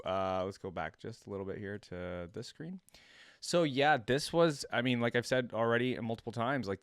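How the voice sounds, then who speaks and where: A man hosting a casual reaction video, talking conversationally and thinking out loud, sometimes reading aloud. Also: fairly low pitch, clear, resonant tone, no room sound, clean studio sound.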